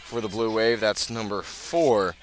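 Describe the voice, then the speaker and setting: A man with an American accent giving live play-by-play football commentary for radio, measured when setting the scene and urgent as the action builds. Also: lowish voice, background noise. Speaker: play-by-play sports announcer.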